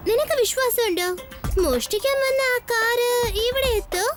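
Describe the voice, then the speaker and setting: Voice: very high voice, normal speed. A voice actor playing a curious young child sidekick who is always hungry. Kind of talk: cartoon dubbing. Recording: music behind.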